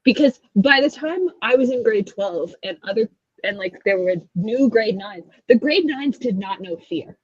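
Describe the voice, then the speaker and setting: A woman's voice: on the high side, animated, moderate pace, no echo, highs cut off. A woman hosting a casual chat, telling stories with wry asides. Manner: chatty storyteller with wry asides. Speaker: host.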